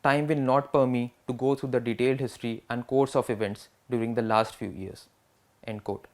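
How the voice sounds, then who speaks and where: A man with a medium-pitched voice presents a scripted piece on a historical speech. He reads long quotations formally and in a measured way, clearly setting them apart from his own narration. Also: fairly even intonation, darkish tone. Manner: formal, measured delivery, marking quotations aloud.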